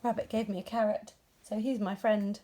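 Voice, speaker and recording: A woman's voice: medium pitch, steady tone, normal speed, mellow, close to an everyday voice. A woman voicing a polite, curious female bear in a children's story, asking hesitant and innocent questions. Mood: concerned.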